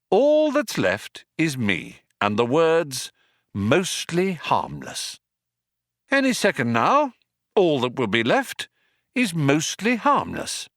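A man's voice: high voice, fairly bright tone, no echo, clean studio sound, voice pushed up, heavily acted. A man voicing an exasperated, bewildered human man in an audiobook story, plaintive, indignant and sarcastic. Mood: glum, indignant.

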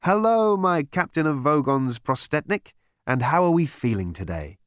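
A sly, beaming psychiatrist , as a male reader puts him on, with a high, sing-song lilt. The pitch swoops widely. The sound is dry and close. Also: very animated, moderate pace, no room sound. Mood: smarmy. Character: smarmy cheerful male psychiatrist, grinning and sly. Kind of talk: audiobook character reading.

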